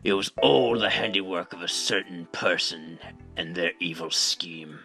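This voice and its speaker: A man's voice: deep voice, steady tone, measured pace, creaky, bright, forceful tone, exaggerated voice. A man playing a pompous, secretive older space center director in a video game fandub, speaking in a theatrical, declamatory way. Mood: grave. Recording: amateur recording with music.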